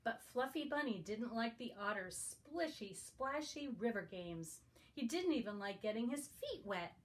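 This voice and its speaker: A woman reading a picture book aloud to young children as a guest reader. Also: medium pitch. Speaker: guest story reader for preschoolers.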